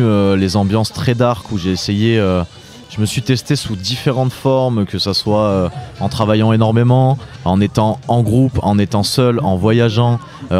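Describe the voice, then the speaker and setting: Man, late twenties, low pitch, hesitant conversational delivery, interview guest, faint music in background. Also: darkish tone. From Drôme, southeastern France. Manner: hesitant, searching for words, repeating phrase starts. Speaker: interview guest, author and entrepreneur.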